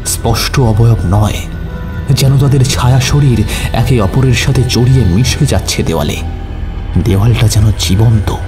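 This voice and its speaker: Male audio-story narrator, medium pitch, dramatic and suspenseful, music bed behind. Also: normal speed.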